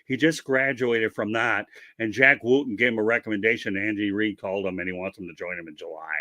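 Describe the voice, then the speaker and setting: A male host talks on and on in a chatty, promotional way over a video call. His tone is bright and forceful. The sound is dry and close. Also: fairly low pitch, fairly even intonation, a little fast, dry recording. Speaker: interview host. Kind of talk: casual interview chat.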